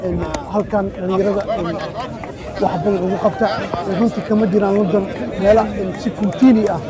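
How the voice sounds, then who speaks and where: A man reporting live from a community football match, filling pauses with a hesitant "in". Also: sits high, little pitch movement, over a noisy crowd. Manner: hesitant, repeats filler "in", fast. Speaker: sports reporter. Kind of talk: live pitchside commentary. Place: outdoor football pitch.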